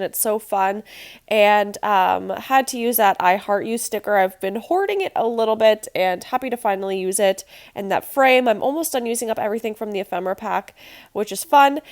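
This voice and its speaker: A young woman with a nasal, congested voice, chatting casually with many ums as she talks through a craft project. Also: on the high side. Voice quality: nasal from allergies. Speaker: scrapbooking channel host.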